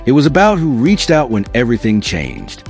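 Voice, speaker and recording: A man's voice: medium pitch, big pitch swings, a little fast, faint music behind. A man reading scripted documentary narration in an earnest, dramatic storytelling manner.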